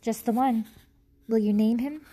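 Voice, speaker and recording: A woman reading aloud, voicing a blunt, gloomy father mouse in a flat, grim manner. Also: fairly high pitch, normal speed, lightly acted.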